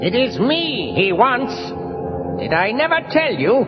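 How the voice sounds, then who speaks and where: A very high-voiced, sinister villain lord from a children's storybook record. He is arrogant, boastful and theatrical, drawing out his words. The muffled recording rings with long reverb over music. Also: wildly swooping pitch, a little slow, exaggerated voice. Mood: ominous, boastful.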